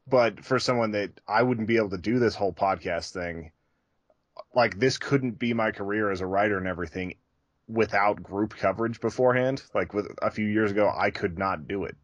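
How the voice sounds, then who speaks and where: Low-voiced man chatting casually on a podcast. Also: fairly quick, no echo, lo-fi sound. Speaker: podcast co-host.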